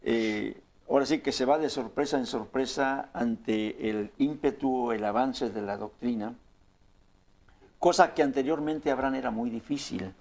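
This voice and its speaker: Man, host, earnest, measured, mid pitch. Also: a little mellow. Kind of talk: religious talk show conversation.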